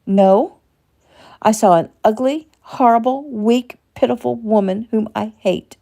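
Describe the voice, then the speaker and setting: A woman voicing a traumatized young woman who shouts in rage and breaks toward tears. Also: on the high side, a little slow, gravelly, voice pushed up. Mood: furious.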